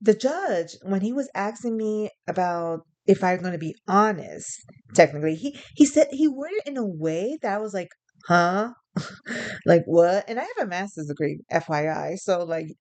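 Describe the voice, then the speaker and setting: A woman's voice: medium pitch, expressive, moderate pace, darkish tone. A young adult woman from New York telling a personal story in a casual, rambling way, chuckling and correcting herself often. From New York, USA. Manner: rambling, chuckling, many fillers and self-corrections. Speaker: vlogger.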